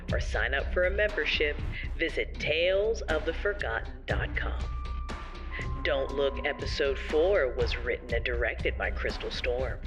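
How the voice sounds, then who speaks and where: A woman announces show credits in a scripted narration style. She reads slowly and evenly paced, with music clearly present behind her. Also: deep voice.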